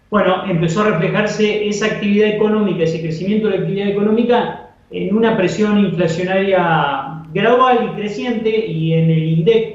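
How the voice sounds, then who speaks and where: A man's voice: high voice, a little mellow. An Argentine man giving economic commentary as an interview guest, explaining things and repeating words as he goes. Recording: echoey, distant, muffled remote link.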